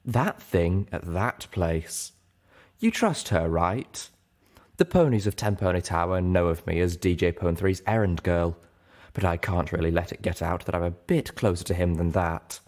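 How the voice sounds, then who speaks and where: A man voicing a female unicorn radio DJ in an audiobook, speaking in a smooth, confiding, flirtatious manner. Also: sits low, moderate pace, darkish tone, natural voice.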